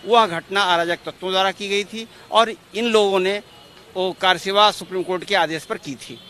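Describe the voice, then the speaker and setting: A man's voice: high-pitched, fairly even intonation, normal speed, bright, forceful tone. A man, a lawyer giving formal, repetitive explanations in a press interview. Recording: outdoor street noise behind.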